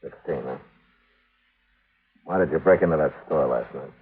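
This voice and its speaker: A man playing a stern, steady American frontier marshal in an old radio western, speaking in terse commands and questions. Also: very deep voice, moderate pace, slightly rough, full character voice. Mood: stern, probing. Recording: muffled, narrow-band old radio recording.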